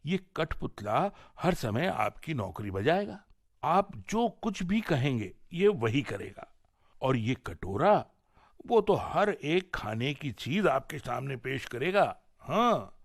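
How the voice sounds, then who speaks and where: A man's voice: fairly high pitch, no room sound, studio quality, voice pushed up, full character voice. A man voicing timid, grateful fairies from a folk tale, speaking in a deferential, coaxing and emphatic way. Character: group of timid grateful young fairies pleading humbly. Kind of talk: folk tale storybook reading.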